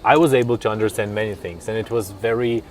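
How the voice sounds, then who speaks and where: A fairly low-voiced young man from Kazakhstan, a native Russian speaker talking in English. He answers interview questions in a thoughtful, halting way, pausing on fillers and starting sentences over. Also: a little hiss or hum. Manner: thoughtful, hesitant with many fillers and restarts. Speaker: interview guest, linguist.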